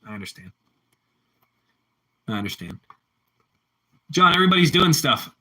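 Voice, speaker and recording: A man's voice: a little high, sing-song, moderate pace, pushed and bright, low-quality recording. A man with a Pittsburgh accent chatting casually with live-stream viewers, rambling and repeating himself as he answers the chat.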